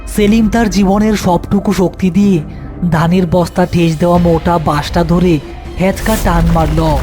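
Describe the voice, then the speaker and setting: A male narrator tells a scripted horror story. His voice is high for a man, with faint music running behind it.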